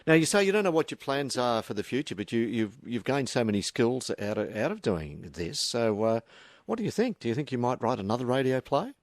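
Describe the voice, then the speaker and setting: An Australian man hosting a casual live radio interview, asking halting questions with stammered, repeated words. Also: medium pitch, big pitch swings, no room sound.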